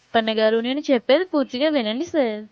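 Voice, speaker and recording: మర్యాదగా మాట్లాడే కస్టమర్ కేర్ మహిళ. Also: female voice, quick pace, dry recording, muffled recording. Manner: polite, patient sales pitch. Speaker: customer care caller. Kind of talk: scripted sales phone call.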